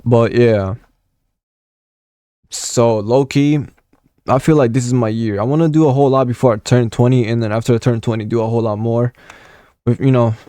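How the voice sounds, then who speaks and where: A man's voice: medium pitch, dark tone, clean tone, dry recording. Young man rambling through gaming memories. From Puerto Rico. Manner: rambling, swears a lot, many fillers. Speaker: gaming content creator. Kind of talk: casual storytelling vlog.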